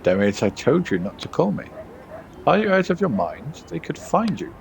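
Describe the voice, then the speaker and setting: A man's voice: fairly high pitch, sing-song, normal speed, vocal fry, a little mellow, slight background noise, pitched above the performer's own voice. A man voicing a weary, argumentative errand runner from a story game, pleading and exasperated. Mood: alarmed, angry.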